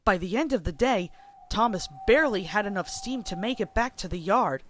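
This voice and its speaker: Female storybook narrator, lively and expressive intonation, music bed under the read. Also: medium pitch, brisk. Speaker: storybook reader.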